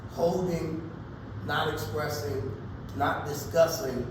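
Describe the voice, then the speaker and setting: A man hosting a panel discussion, asking questions in a measured, earnest way. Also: on the high side, fairly even intonation. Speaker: host. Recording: echoey room, distant mic, background noise.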